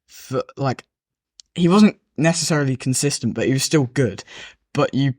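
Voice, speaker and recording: A man's voice: mid-range voice, moderate pace, a little mellow, dry recording, clean studio sound. A man on a casual football podcast, arguing his picks earnestly and hesitantly, with lots of "like" in his speech. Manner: earnest, hesitant, many "like" fillers. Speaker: podcast guest.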